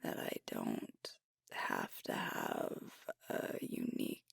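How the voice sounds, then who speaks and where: A woman acts out a sweet alpha werewolf girlfriend who is hard on herself, in an intimate ASMR roleplay. Her breathy voice often slips into a whisper and is thick with creak. She talks very slowly, with tender, hesitant pauses, in a close, dry sound. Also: rumbling low, fairly even intonation, no echo, full character voice. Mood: vulnerable, grateful. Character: sweet, self-critical alpha werewolf girlfriend, burdened pack leader.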